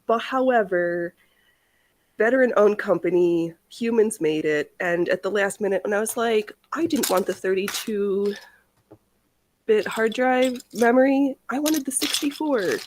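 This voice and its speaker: Rambling woman livestreamer with background noise. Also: mid-range voice. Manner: rambling, easily sidetracked. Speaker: livestream host of a sewing and crafting show. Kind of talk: casual livestream chat.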